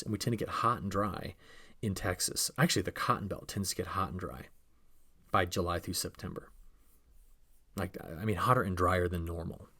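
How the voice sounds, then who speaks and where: A man's voice: low-pitched, bright, forceful tone. A man presenting an informal weather outlook, explaining in a conversational, emphatic way and posing rhetorical questions as a forecaster.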